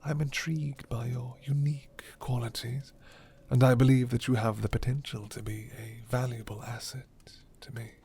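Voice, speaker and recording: A man voicing a suave, commanding vampire lord in an ASMR roleplay, with formal, breathy, half-whispered menace. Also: mid-range voice, little pitch movement, normal speed, dark tone, slight background noise, natural voice. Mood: silky, intrigued.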